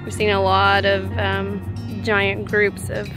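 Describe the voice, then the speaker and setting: A woman's voice: mid-range voice, monotone, slow, over music. A woman in her thirties, chatty and upbeat, vlogging from a sailboat with the camera held at arm's length. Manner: upbeat, chatty, pointing things out. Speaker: sailing vlogger. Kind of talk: casual vlog narration. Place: outdoors on a sailboat at sea.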